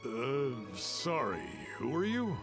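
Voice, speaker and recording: Brief: suspicious, irritable local brushing off visitors, clipped and testy. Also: male voice, medium pitch, huge pitch swings, slow pace, exaggerated voice. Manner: curt and suspicious. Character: wary, irritable man turning visitors away. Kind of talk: video game voice acting. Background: game music.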